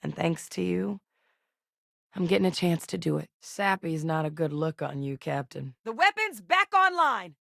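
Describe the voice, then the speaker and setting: A guarded, principled woman scientist in a sci-fi game. Her voice sits low, recorded very dry and close. She speaks in a measured, earnest manner with a wry edge. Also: fairly even intonation, vocal fry, a little mellow, no room sound, full character voice. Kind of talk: video game character dialogue.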